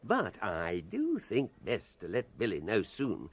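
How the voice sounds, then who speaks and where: An actor playing a shrewd, genteel older English prisoner in a radio comedy drama, speaking in urbane, formal phrases. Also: male voice, lowish voice, wildly swooping pitch, a little slow, full character voice. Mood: calm, decisive. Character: genteel, shrewd old Englishman, a prisoner running culture classes.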